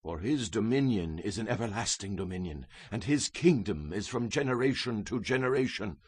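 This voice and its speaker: A man's voice: on the low side, animated, moderate pace, a little mellow, slightly rough, no room sound, clean studio sound. Man acting grand, declamatory ancient king, swooping delivery. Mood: exalted praise. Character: proud ancient Babylonian king, grandly boastful, solemnly devout. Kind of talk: dramatized scripture reading.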